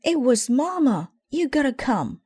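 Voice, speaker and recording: A woman voicing a young, anxious and excitable boy bear cub in a storybook, exclaiming urgently. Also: high-pitched, measured pace, breathy edge, no room sound, pitched above the performer's own voice, heavily acted.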